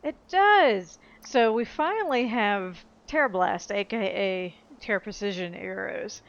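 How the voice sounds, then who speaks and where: A woman's voice: mid-range voice, expressive, measured pace, creaky, highs cut off. A woman narrating her own gameplay in a casual, upbeat way, thinking aloud as she goes. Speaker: streamer playing and narrating a video game.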